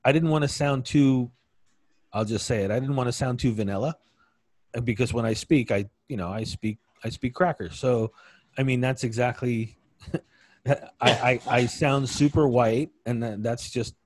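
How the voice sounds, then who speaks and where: A man's voice: medium pitch, measured pace, a little mellow. A man from New York City co-hosting a casual chat, plain-spoken, who often trails off with a short "so.".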